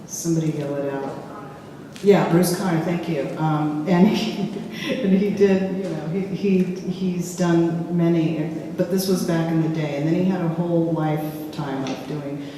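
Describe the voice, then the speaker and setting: A woman filmmaker speaking casually in a public discussion, halting and searching for words with frequent restarts. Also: fairly low pitch, steady tone, dark tone. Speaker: filmmaker in a post-screening discussion. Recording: echoey hall from far off, faint hiss.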